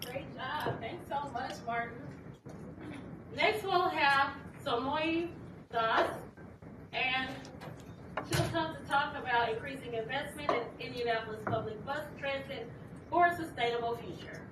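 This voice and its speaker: A woman's voice: high voice, measured pace, roomy sound, distant mic, heavy background noise. A woman hosting an event, upbeat and friendly, thanking one speaker and introducing the next. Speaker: event host.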